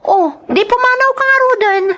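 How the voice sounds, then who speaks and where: A woman's voice: very high voice, lively intonation, moderate pace, bright, forceful tone, voice pushed up, full character voice. A woman playing an irritable, haughty young village woman in a radio-style drama, curt and scolding, with an Ilocano accent. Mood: curt, annoyed.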